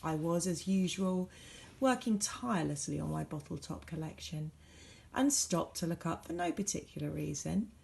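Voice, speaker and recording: A woman reading a children's storybook aloud as a teacher would, with dramatic, varied emphasis and deliberate pauses. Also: low voice, gravelly, a little mellow.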